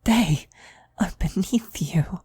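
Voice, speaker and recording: A woman's voice: fairly low pitch, slow, over a noisy crowd. A woman playing a fawning female cultist devoted to her demon queen, deferential and half-whispering, in an ASMR roleplay. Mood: disdainful.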